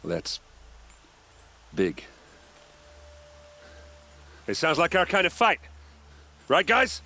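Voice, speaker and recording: Swaggering warrior captain, raspy, forceful, animated, video game drama. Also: male voice, a little high, drawn-out words, heavily acted. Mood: rousing. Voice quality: gravelly, raspy. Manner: blustering, swaggering. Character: brash, gruff warrior captain rallying his rowdy men. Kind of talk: cinematic video game voice acting.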